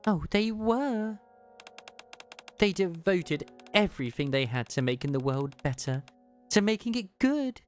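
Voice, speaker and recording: An ancient, knowing woman of a video game story, acted out by a man. The voice is lifted high and swings in pitch as it explains fondly in measured, formal phrases, with music behind. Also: lively intonation. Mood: fond, earnest. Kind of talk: read-aloud video game dialogue.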